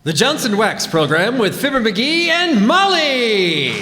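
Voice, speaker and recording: A man acts out a chipper vintage radio announcer selling a household product. The voice is high and swoops animatedly, with polished delivery, in an echoey hall with music around it. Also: very animated, unhurried, noisy background, full character voice. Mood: upbeat selling. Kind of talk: 1940s radio advertising and narration. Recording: echoey hall, live stage performance.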